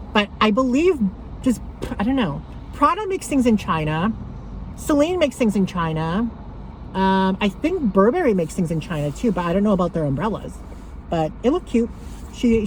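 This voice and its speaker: A woman talking casually to camera about her thrift finds, rambling with lots of fillers and a loud voice. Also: medium pitch, slight background noise, rough recording. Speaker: thrift haul vlogger.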